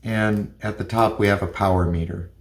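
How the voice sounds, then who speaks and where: A man's voice: on the low side, fairly even intonation, normal speed. Methodical man reviewing gadgets, faint noise. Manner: plain, methodical step-by-step explaining. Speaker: product reviewer. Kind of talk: hands-on gadget review narration.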